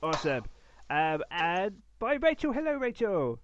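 Male podcast host, informal and self-effacing, very animated intonation, dry lo-fi sound. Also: sits high, measured pace, a little hiss or hum. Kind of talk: casual chat, hosting an animation podcast.

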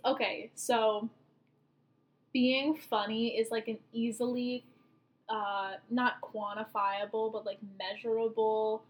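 A woman's voice: fairly high pitch, poor audio. A woman in her twenties co-hosting a casual podcast, thinking aloud and rambling with lots of filler words. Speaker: podcast co-host.

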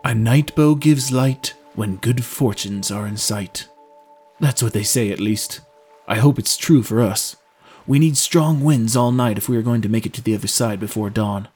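A man's voice: mid-range voice, moderate pace, darkish tone, breathy edge, faint music behind, natural voice. A man voicing a watchful, superstitious sailor in an audiobook, plain-spoken and easygoing but wary. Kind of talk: audiobook character voice.

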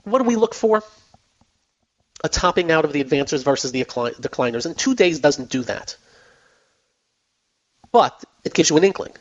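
A man hosts a finance call-in radio show, his voice going hoarse as he talks. He chats in a loose, repetitive way with plenty of fillers, and sits fairly high in pitch. The broadcast sounds dull and lo-fi. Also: lively intonation, moderate pace, long pauses. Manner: chatty, repeats himself, many fillers. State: losing his voice. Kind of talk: casual radio market talk.

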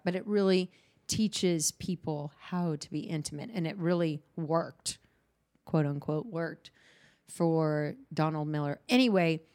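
A woman podcast host who works as a counselor, talking candidly in a halting, restart-filled way in casual chat. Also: low-pitched, darkish tone.

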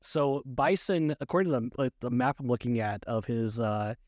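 A man co-hosting a casual movie chat, speaking haltingly, repeating words and often chuckling. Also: mid-range voice, lively intonation, darkish tone, dry recording, studio quality. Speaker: podcast co-host.

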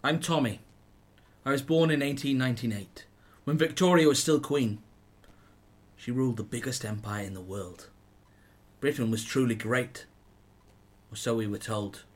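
A man's voice: mid-range voice, moderate pace, long pauses, lightly acted. A man playing a grave teenage soldier from Wales narrating his life in a radio play. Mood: reflective.

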